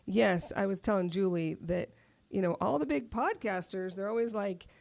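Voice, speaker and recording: A woman with a deep voice co-hosts a relaxed podcast. She chats and giggles a lot, sounding worn down from being sick. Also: moderate pace, creaky. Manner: giggly, chatty. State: worn out from flu. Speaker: podcast co-host. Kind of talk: casual chat.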